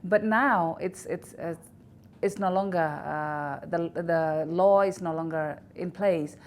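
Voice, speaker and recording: A woman from Indonesia with an Indonesian accent, answering interview questions in a halting way with many fillers and restarts. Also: lowish voice. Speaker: interview guest, institute director. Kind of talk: recorded news interview.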